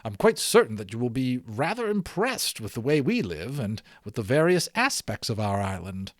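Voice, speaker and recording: A man voicing an elegant, fussy but cordial gentleman in a storybook, speaking in a courtly, insistent, formal way. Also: mid-range voice, sing-song, normal speed, dry recording, studio quality. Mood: courteous, proud. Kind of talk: storybook read-aloud character voice.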